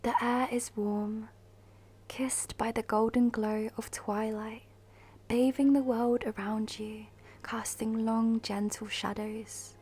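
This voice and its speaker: Breathy, slow-speaking woman guiding meditation. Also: fairly high pitch, little pitch movement. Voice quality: breathy, soft, half-whispered. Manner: soothing, measured, with long pauses. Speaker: meditation guide. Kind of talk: guided meditation, scripted narration. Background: music.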